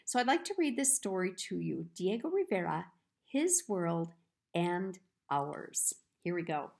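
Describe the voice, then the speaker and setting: A woman reading a children's picture book aloud in a storytelling style, with deliberate, expressive phrasing. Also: lowish voice, creaky.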